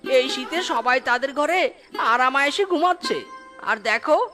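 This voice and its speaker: Someone voicing a sorrowful, high-pitched beggar husband. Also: normal speed, sharp tone, faint music behind. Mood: despairing. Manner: pleading, lamenting. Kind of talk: melodramatic cartoon story dialogue.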